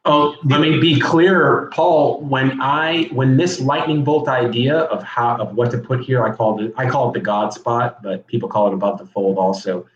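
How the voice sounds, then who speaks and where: A man's voice: mid-range voice, steady tone, normal speed, hardly any pauses. A man, a coach as guest, talking frankly and casually over a video call. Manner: frank, conversational, repeats words as he talks. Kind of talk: casual interview chat. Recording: echoey, dull video call audio.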